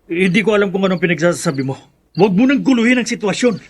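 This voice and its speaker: A man's voice: high-pitched, expressive, racing, breathy edge. A man playing an evasive, defensive father in a radio drama, flustered and prone to stammering. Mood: nervous, defensive.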